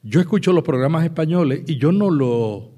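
A man from Puerto Rico answers an audience question on a panel. His voice is dark and mellow, and he talks in a reflective, anecdotal way with frequent pauses and restarts. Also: a little high, lively intonation. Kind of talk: conference panel talk. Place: conference hall with audience.

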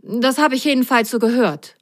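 Woman as young male sock character, high voice, eager, chatty, excitable, children's storybook. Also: a little slow. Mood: confident, eager.

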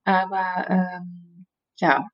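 A woman's voice: fairly low pitch, flat delivery, drawn-out words, lo-fi sound. A middle-aged woman from East Berlin, a guest voice actress, chatting casually in an interview with many fillers and restarts. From East Berlin, Germany. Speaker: guest, radio play voice actress.

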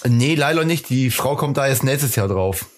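A man's voice: mid-range voice, expressive, normal speed. A man co-hosting a podcast in casual banter, dry and teasing, correcting his partner. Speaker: podcast co-host.

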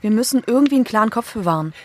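An actress playing a level-headed, casual young activist in a naturalistic audio drama. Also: female voice, fairly high pitch, lively intonation, racing, no room sound. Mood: firm, composed. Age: young adult.

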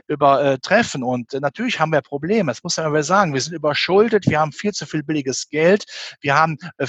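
A man's voice: fairly high pitch, a bit bright, husky, dry recording. A man from the Rhineland giving his opinion as an interview guest, speaking emphatically and argumentatively with many rhetorical questions. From Rhineland, western Germany near the Belgian and Dutch border. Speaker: interview guest commenting on economics and finance. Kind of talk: animated spoken opinion in an interview.

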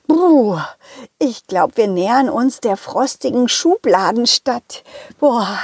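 A woman plays an upbeat little dog hero from a children's audio play. The voice is high and very lively, exclaiming and coaxing young listeners along, with a close, dry sound. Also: slow pace, slightly rough, no room sound, exaggerated voice. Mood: chatty, upbeat. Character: cheerful, confident, child-friendly talking dog hero.

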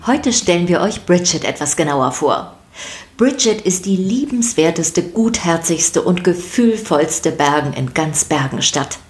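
A woman's voice: on the low side, expressive, unhurried, a little mellow, soft background music. A woman narrator reading a scripted podcast for children.

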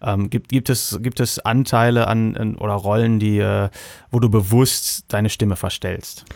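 A man's voice: on the low side, steady tone, measured pace, creaky, a little mellow, dry recording, clean studio sound. A man interviewing a guest in casual German talk, stumbling through his questions with many fillers. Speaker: podcast interviewer.

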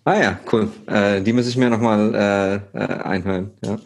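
A man in his thirties from India, a startup founder talking as a podcast guest with many pauses and fillers. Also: lowish voice, fairly even intonation, a little hiss or hum. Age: 30s. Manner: hesitant, many fillers and restarts. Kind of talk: podcast interview.

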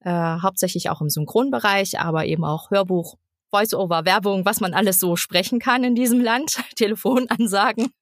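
A thirty-year-old woman from eastern Germany chatting casually as an interview guest, halting and giggly as she searches for words. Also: medium pitch, no room sound, studio quality. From eastern Germany (Lower Lusatia). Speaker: guest, actress and voice actor.

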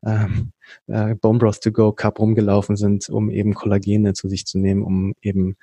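Low, mellow man with a hesitant delivery. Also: completely flat delivery, moderate pace, slightly rough, no room sound. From Berlin, Germany. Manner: explains at length with frequent hesitation fillers and restarts. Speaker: podcast guest, company co-founder. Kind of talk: casual conversational storytelling.

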